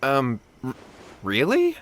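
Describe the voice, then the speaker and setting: A man's voice: medium pitch, huge pitch swings, takes it slowly, very noisy. A man voicing a humble, earnest young martial artist in a video game, deferential and flustered. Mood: flustered, grateful. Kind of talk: English video game character acting. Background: music.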